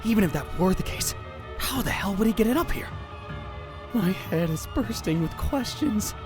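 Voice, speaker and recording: A man's voice: sits high, animated, normal speed. A man playing an anxious, dramatic young conspiracy theorist who narrates a visual novel in a theatrical, self-questioning way. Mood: stunned, puzzled. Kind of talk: first-person visual novel narration, acted. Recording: music bed under the voice. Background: background music.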